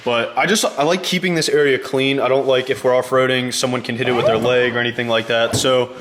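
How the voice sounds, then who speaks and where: A man's voice: mid-range voice, steady tone, a little fast, a bit bright. A man hosting a casual how-to vlog, talking in a laid-back, rambling way with lots of fillers. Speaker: channel host. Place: garage.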